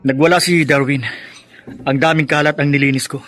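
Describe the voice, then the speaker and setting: Blunt young brother, highish voice male actor. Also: fairly even intonation, fairly quick, fairly bright tone, soft background music, lightly acted. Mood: dry, subdued. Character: blunt, exasperated young brother in a troubled family. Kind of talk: Filipino radio drama acting.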